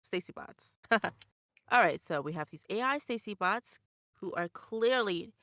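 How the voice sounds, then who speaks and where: A woman with a bright, clear voice and a lively pitch. She speaks slowly as she plays a tabletop game on a video call, and her close, dry sound has no room echo. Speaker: tabletop player. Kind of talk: in-character improv play over video call.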